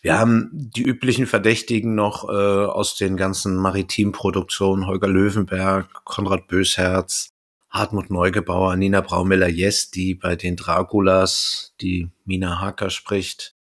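Low-voiced man, casual podcast host. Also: little pitch movement, a little slow. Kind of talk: casual podcast talk, presenting a cast list.

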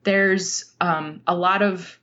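Female interview guest, slightly low voice, unhurried and earnest, reflective storyteller, dull lo-fi sound. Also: fairly even intonation, bright, forceful tone. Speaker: podcast guest, writer.

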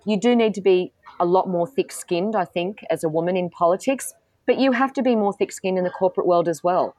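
A self-assured woman in middle age answers interview questions in a candid, forthright way. Her voice sits a little low, and she often restarts her sentences. The recording is close and dry, with no room sound. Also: brisk, a little mellow, no echo. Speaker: politician and guest.

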